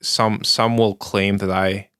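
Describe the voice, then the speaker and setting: A young man from Canada chatting casually on a podcast, hesitant and full of fillers, with a monotone delivery. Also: fairly low pitch, slow pace, clear, resonant tone, no echo, studio quality. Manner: hesitant, filler-heavy, self-described monotone. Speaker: podcast co-host. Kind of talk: casual chat.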